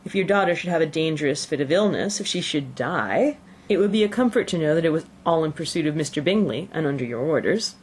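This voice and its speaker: A woman voicing a dry, sardonic middle-aged gentleman father in an audiobook, with measured irony. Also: sits low, expressive, slight background noise. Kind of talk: audiobook character voice.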